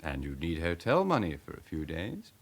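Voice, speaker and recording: An English actor playing a suave, sardonic, guarded crook in a British radio drama. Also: male voice, deep voice, huge pitch swings, moderate pace, full character voice. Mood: cool, guarded.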